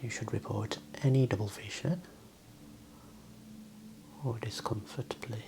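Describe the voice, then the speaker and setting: A man whispering slow, gentle instructions in an ASMR medical exam role play, softly praising between steps. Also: lowish voice, gravelly, noisy background. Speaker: ASMR creator playing a clinician.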